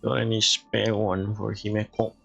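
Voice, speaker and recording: A man's voice: fairly low pitch, flat delivery, moderate pace, vocal fry, fairly bright tone, highs cut off, soft background music. A man giving casual game stream commentary, muttering to himself in short fragments.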